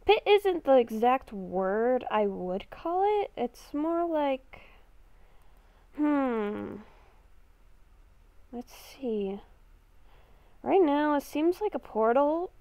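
A woman's voice: high-pitched, very animated, slow pace, long pauses, dark tone, no echo, heavily acted. A young woman improvising a Minecraft roleplay as a confused, lost young woman, hesitant and thinking aloud. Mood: puzzled, musing. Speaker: gaming video creator.

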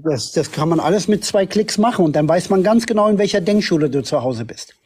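A man's voice: high voice, expressive, brisk, darkish tone, slightly rough. A man born in Germany, arguing scornfully as a guest in a live-stream debate and talking over the others.

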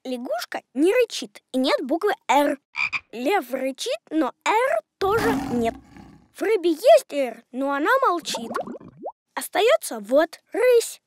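A woman's voice: high-pitched, big pitch swings, slow, a little mellow, no echo, heavily acted. A woman voicing a small, helpful cartoon creature who explains things patiently in a children's show. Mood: explaining. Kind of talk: animated children's cartoon acting.

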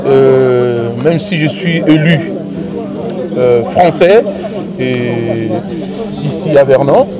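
A man's voice: medium pitch, drawn-out words, over loud music. A middle-aged man with a Congolese accent being interviewed informally, speaking hesitantly and repeating words as he goes. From Democratic Republic of the Congo. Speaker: interview guest, deputy mayor. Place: outdoors in a grassy garden, seated. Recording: outdoor recording with people chattering.

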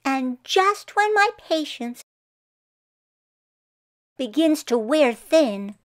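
An earnest female tree from a children's picture book , voiced by a woman reader. She speaks her rhymes very slowly and deliberately, with a high, expressive voice that swings up and down. She is easily exasperated, and the sound is close and dry. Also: no echo, heavily acted. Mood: weary, relieved. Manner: slow, deliberate, expressive rhymed storybook reading.

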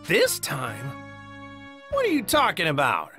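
An earnest, boyish martial arts hero from a video game. The delivery is highly animated and the tone bright and forceful, with faint music behind. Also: female voice, a little slow, full character voice. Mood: dismayed, puzzled.

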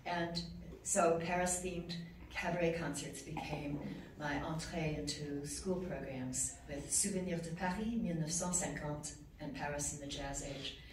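A woman's voice: low voice, fairly even intonation, a little slow, few pauses. Woman giving speech in echoey hall. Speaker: award recipient giving acceptance speech. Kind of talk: formal acceptance speech. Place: ornate reception room at a consulate. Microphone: podium mic, recorded from far off. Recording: echoey room, far from camera, noisy.

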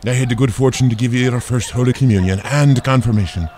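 A man's voice: mid-range voice, fast, dark tone, slight background noise, lightly acted. An actor playing a kindly, proud older parish priest in an audio drama, with a folksy, hesitating, fatherly way of talking. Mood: fond, proud.

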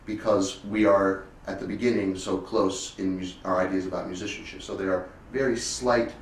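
Man, 20s, low register, earnest interviewee with halting restarts; echoing room sound. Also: steady tone. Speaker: concert cellist, interviewee. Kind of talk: conversational interview about music. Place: elegant indoor sitting room. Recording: roomy, distant mic, faint noise.

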